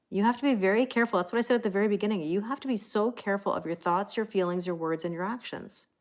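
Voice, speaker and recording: Middle-aged lady doing a tarot live stream, lowish voice, chatty, thanks everybody by name. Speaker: tarot reader hosting a livestream. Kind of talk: casual livestream chat, greeting viewers.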